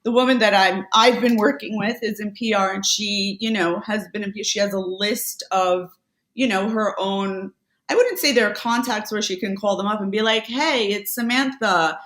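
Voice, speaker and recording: Chatty woman in an echoey room. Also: mid-range voice. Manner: chatty, quick asides and self-corrections, lively laughs. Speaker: podcast guest, Amazon seller. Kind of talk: casual conversational interview.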